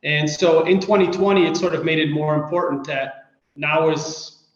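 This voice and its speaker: A man from Belgium answering interview questions in a measured, hesitant way. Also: fairly high pitch, steady tone. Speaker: guest. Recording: echoey dull-sounding room.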